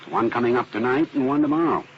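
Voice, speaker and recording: A man's voice: mid-range voice, fast, close to an everyday voice. An American actor playing an earnest, methodical male arson specialist in a 1950s radio drama, explaining things with plain-spoken assurance. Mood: assured explaining. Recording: dull old radio recording with heavy noise.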